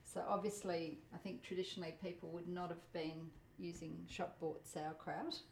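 A middle-aged woman who grew up speaking Polish, talking thoughtfully in a relaxed interview while cooking, with the odd soft laugh. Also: fairly low pitch, gravelly, echoey room, low-quality recording. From Australia. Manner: thoughtful, measured, laughs softly. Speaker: interviewee, home cook. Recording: faint background noise.

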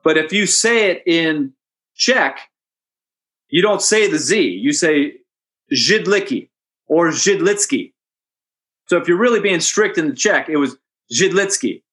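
A hockey play-by-play announcer answering interview questions in a relaxed, anecdotal way, chatty and amused. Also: male voice, on the high side, a little slow, long pauses. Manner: chatty storyteller, amused, with restarts and asides.

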